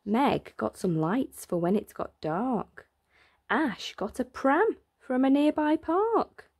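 A woman reading a rhyming children's picture book aloud in an expressive, deliberate way, drawing out words for emphasis. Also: sits high, breathy edge. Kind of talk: expressive read-aloud of a rhyming bedtime story.

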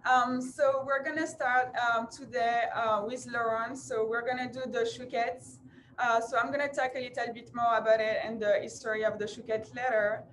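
A woman's voice: on the high side, fairly even intonation, moderate pace, fairly bright tone, slight background noise. French-accented young woman presenting a bakery. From France. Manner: chatty, many fillers, frequent laughs. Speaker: bakery representative. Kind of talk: live cooking demo presentation. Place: bakery kitchen.

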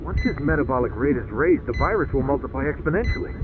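A man's voice: mid-range voice, animated, fast talker, natural voice. An actor playing a worried, clinical and cautious male medical assistant in a video game cutscene. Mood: clinical concern. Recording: thin phone-like sound, music behind.

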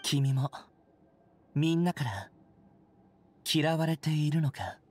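Casting: woman to play world-weary dragon for a game. Very low, slow, sardonic, somber. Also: lively intonation, a little mellow, a little hiss or hum. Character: lonely, world-weary outcast dragon, brooding and bitter. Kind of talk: scripted game voice acting.

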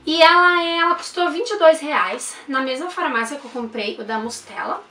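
A woman's voice: high-pitched, moderate pace, pushed and bright, reverberant, distant mic, soft background music. A young woman, reviewing baby products in a chatty, enthusiastic way and using lots of diminutives. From Brazil. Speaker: mom vlogger. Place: indoor room.

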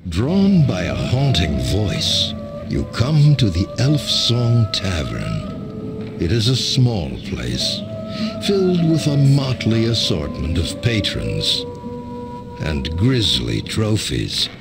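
A solemn storyteller of a fantasy game, speaking slowly and portentously. His low voice swings dramatically, set over prominent music. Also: huge pitch swings, heavily acted. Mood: grave, dramatic. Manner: theatrical, portentous. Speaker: narrator. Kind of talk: scripted narration.

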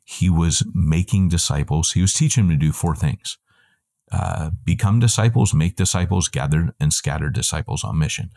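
A middle-aged man, a guest on a video call, giving a long, animated answer full of quick asides and self-corrections, heard through wired earbuds in a room at home. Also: sits low, gravelly. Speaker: guest, church planting trainer.

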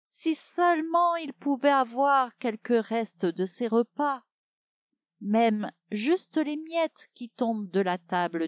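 A woman tells a children's Bible story at an unhurried pace. She is expressive and speaks straight to her young listener. The recording is close and dry, with a slightly muffled, lo-fi sound. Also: medium pitch, no room sound.

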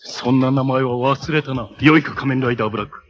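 An actor playing a menacing armored cyborg villain in a 1980s Japanese superhero TV show, making imperious, theatrical proclamations. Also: male voice, medium pitch, fast, dull audio, natural voice. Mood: cold, threatening.

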